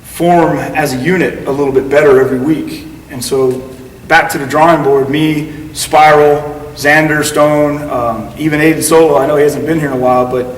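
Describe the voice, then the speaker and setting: A man's voice: on the high side, fairly even intonation, moderate pace, distant mic. A man being interviewed as a guest, telling long stories in a casual, rambling way with lots of fillers. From United States. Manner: rambling, full of fillers and acted-out quotes. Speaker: guest wrestler. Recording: echoey room heard from afar with faint noise.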